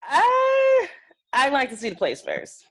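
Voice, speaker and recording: A highish, very animated woman's voice an actress playing a candid, wary young film fan. She reads a comedy script aloud. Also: slow, heavily acted. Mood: wary, flattered. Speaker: actor in a scripted table read. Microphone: video call.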